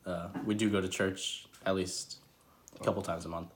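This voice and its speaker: A man's voice: low voice, fairly even intonation, moderate pace, slight background noise. A young man from New England answering interview questions in a laid-back way, often starting with "I mean".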